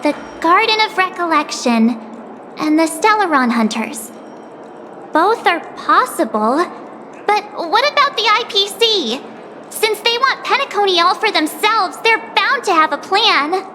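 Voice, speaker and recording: A very high, eager young girl. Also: big pitch swings, unhurried, pushed and bright, slight background noise, exaggerated voice. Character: cheerful, curious young woman companion. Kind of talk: game voice acting. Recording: game music under voice.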